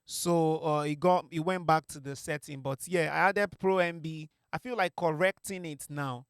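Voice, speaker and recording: A man's voice: on the high side, fairly even intonation, moderate pace, smooth, no echo. A young man, a music producer, talking through a vocal mix in a casual tutorial from his small home studio, with many fillers and restarts. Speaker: music producer teaching mixing. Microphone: studio mic on a stand.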